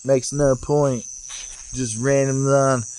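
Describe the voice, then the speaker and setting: A man speaking as a vlogger in a casual, off-the-cuff spiritual monologue, musing in broken phrases with pauses. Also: medium pitch, flat delivery, darkish tone, slight background noise. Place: outdoors, sitting in a rocking chair. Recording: outdoor recording with chirping insects. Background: cicadas or crickets.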